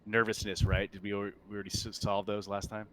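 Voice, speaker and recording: Deep-ish guy game mastering over voice chat, kinda halting descriptions, noticeable background noise. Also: completely flat delivery. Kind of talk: narrating a tabletop game.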